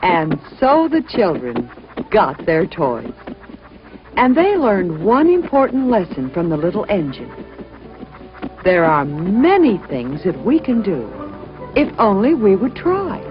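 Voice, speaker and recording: A woman's voice: medium pitch, big pitch swings, slow, over music. A woman narrating a children's story expressively, as a storyteller. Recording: muffled, noisy old-sounding recording.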